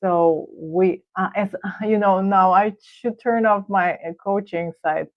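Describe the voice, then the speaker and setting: A middle-aged woman with a Russian accent, a guest coach answering thoughtfully with frequent pauses and fillers. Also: mid-range voice, dry recording. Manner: thoughtful, halting with many fillers. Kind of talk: casual interview answers. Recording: tinny phone-like line.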